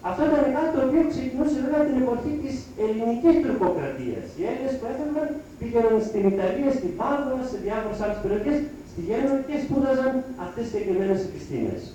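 A man giving a public lecture over slides, speaking haltingly in short phrases with pauses. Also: very high voice, big pitch swings, warm tone, dull audio. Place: lecture hall with audience. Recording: echoey hall, distant mic, faint noise.

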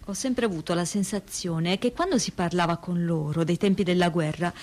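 An actress playing a pensive granddaughter who recalls family war stories in a staged testimony reading, deliberately and in short phrases. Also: female voice, on the low side, darkish tone, close to an everyday voice. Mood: puzzled, reflective. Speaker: reader in a staged radio reading. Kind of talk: dramatic reading of personal testimony with music.

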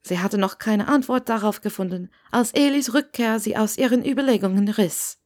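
A woman's voice: fairly high pitch, moderate pace, darkish tone. Woman narrating a story. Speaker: narrator reading fan fiction.

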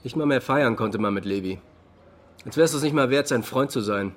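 An actor playing an agitated, indignant young friend with slangy, emphatic delivery in a naturalistic radio drama. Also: male voice, mid-range voice, moderate pace, slight background noise, natural voice.

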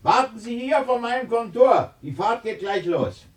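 An actor playing a reformed old miser in a 1960s radio drama, blustery and commanding, bursting with joy. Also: male voice, very high voice, animated, moderate pace. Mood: brusque, hurried. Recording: noisy 1960s radio play recording.

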